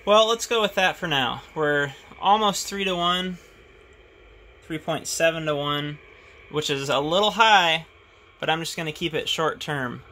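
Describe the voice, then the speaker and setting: A man thinking aloud in a relaxed way as he tests his CB radio in a garage. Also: a little high. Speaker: radio hobbyist demonstrating his CB radio. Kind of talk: casual hobby video narration. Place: home garage.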